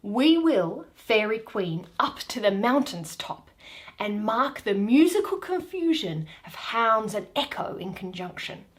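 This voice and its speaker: A woman's voice: fairly high pitch, big pitch swings, unhurried, voice pushed down. A woman voicing a proud, commanding male duke in declamatory Shakespearean verse. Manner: declamatory, emphatic.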